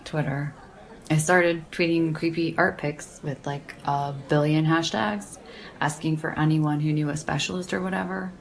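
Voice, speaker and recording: A sardonic young woman with a low voice that crackles with fry. She talks in dry, slangy banter, teasing and unimpressed, as in an audio drama. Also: steady tone, moderate pace. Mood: dry, matter-of-fact. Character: deadpan, sardonic young woman who loves horror paperbacks.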